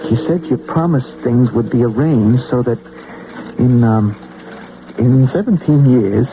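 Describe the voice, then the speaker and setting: Nervous working guy, colloquial n halting, creaky voice, noisy old radio play. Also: medium pitch, animated. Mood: nervous pleading. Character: anxious, obsessed working-class everyman named Joe. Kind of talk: old-time radio drama acting. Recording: tinny, noisy old radio broadcast recording.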